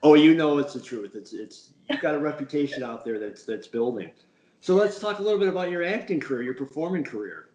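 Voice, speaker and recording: A man's voice: fairly high pitch, lively intonation, brisk, a little mellow, roomy sound, highs cut off. Chatty, complimentary male interviewer. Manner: chatty and complimentary, with stumbling restarts. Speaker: interview host. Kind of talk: casual interview chat.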